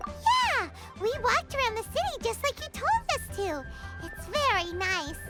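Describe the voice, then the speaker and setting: A woman voicing a tiny, bubbly, bossy childlike fairy girl in an anime game, squeaky and excitable. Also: unhurried, heavily acted. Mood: cheerful. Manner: excitable, exclamatory storytelling. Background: music.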